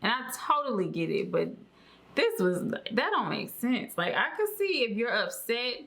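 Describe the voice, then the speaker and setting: A woman co-hosting a casual reaction chat, informal and halting as she thinks aloud. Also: a little high, sing-song, gravelly, fairly bright tone. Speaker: co-host reacting to a video.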